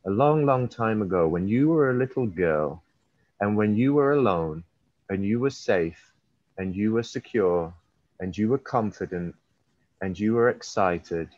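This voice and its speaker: Male hypnotherapist, low register, slow repeated suggestions stretched for emphasis, echoey room sound. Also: expressive, a little mellow. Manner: repetitive, drawn-out suggestive phrasing with emphasis. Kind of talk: guided hypnosis session. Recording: roomy, slightly dull recording.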